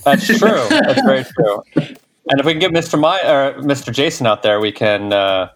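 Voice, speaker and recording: A man's voice: medium pitch, animated, normal speed, a loud crowd. Laid-back, laughing podcast host man. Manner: loose, laughing, playful. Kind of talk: casual chat.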